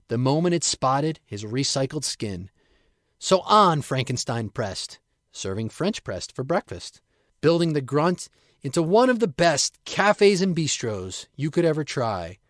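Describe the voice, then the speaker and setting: A man's voice: on the high side, no room sound, clean studio sound. A man reciting his own playful rhymed poem. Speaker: poet reading own work.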